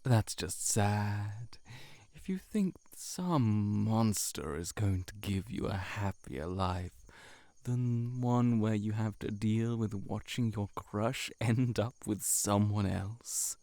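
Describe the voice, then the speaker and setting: Slow, low-voiced predatory shapeshifter monster acted by a man. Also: dark tone, dry recording. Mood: scornful. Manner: slow, measured, sardonic, dropping into breathy near-whispers. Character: heart-eating male shapeshifter monster, cynical, predatory, teasingly seductive. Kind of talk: intimate scripted audio roleplay.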